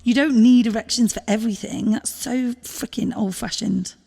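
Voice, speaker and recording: A woman's voice: a little high, steady tone, normal speed, creaky, warm tone, husky, in a large hall. A woman acting a loving, exasperated girlfriend in an audio drama, emotional, pleading and informal, with some swearing. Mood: reassuring, earnest. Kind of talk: naturalistic audio drama dialogue.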